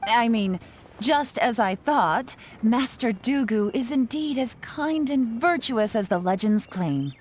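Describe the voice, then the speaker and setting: A woman voicing a calm, shrewd female spy in a video game, reasoning through a mystery in a measured, quietly wry way. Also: a little high, slight background noise. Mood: teasing. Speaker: investigator. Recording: game score playing behind.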